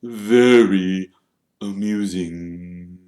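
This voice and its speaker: A gentlemanly ghost in a storybook, acted by a male narrator. He speaks very slowly and stiffly, full of wounded pride, in an echoing room. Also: fairly high pitch, completely flat delivery, voice pushed up. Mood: stiff, bitter. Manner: stiff, slow, drawn-out. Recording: roomy, echoing narration recording.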